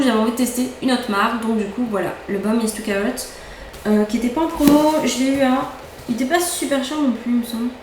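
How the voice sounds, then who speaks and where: A young woman with a fairly high voice talks through her purchases in a chatty, excitable way, doubling up words when she loves something. The room rings with echo. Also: slight background noise. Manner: chatty, enthusiastic, repeats words for emphasis. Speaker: beauty vlogger. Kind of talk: casual haul chat. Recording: echoey room, faint ambience.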